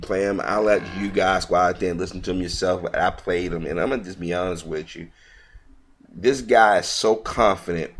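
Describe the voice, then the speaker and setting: A man's voice: low-pitched, a bit bright. A man reading news aloud as an online commentator, stumbling over words here and there and speaking in an earnest, opinionated way.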